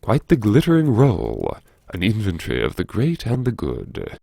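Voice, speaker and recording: A man performing a first-person audiobook monologue as a brooding, wry character who anxiously lectures himself in a theatrical, musing way with dramatic pauses. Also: fairly low pitch, wildly swooping pitch, vocal fry, mellow, husky, dry recording, clean studio sound, exaggerated voice. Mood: sardonic brooding. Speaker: audiobook narrator.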